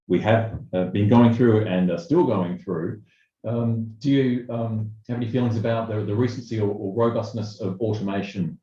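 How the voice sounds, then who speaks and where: A man with a mellow, fairly low voice moderates an online Q&A, phrasing things in a measured, hesitant way. His video call audio is roomy and a little dull. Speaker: Q&A facilitator. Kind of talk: webinar moderation, asking questions.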